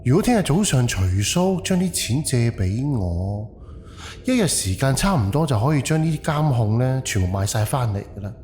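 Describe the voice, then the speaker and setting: Man acting animated, blunt Cantonese haunted house owner. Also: mid-range voice, moderate pace, a little hiss or hum, close to an everyday voice. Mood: wary, pondering. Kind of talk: audio drama acting.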